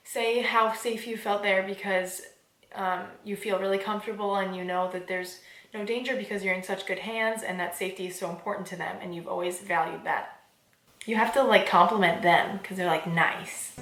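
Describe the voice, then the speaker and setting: A woman's voice: mid-range voice, moderate pace. Bubbly young woman chatting in a roomy space. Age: 20s. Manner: bubbly, animated, informal. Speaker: vlogger giving application advice. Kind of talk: casual chatty advice vlog. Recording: roomy echo, faint background music.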